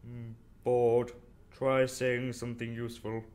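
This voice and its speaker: A man's voice: medium pitch, monotone, unhurried, a little mellow, close to an everyday voice. A man playing an arrogant, brilliant, easily bored detective in an audio drama, with a dismissive, clipped and condescending manner.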